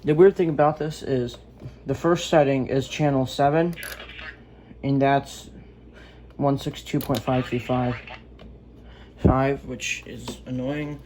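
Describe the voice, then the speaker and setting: A man's voice: mid-range voice, warm tone. Male gadget reviewer, casual and rambling, unscripted, with some background noise on a homemade recording.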